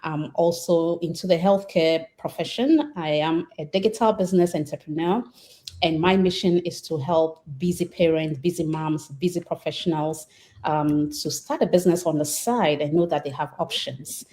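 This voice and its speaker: A woman from Ghana hosting a live online panel discussion, speaking in a measured way with occasional fillers. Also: sits low, steady tone, dark tone. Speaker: host.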